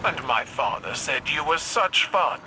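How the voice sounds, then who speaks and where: A woman's voice: sits low, animated, a little slow, noisy background. A woman playing a proud, imperious alien war empress in a video game, haughty, formal and taunting.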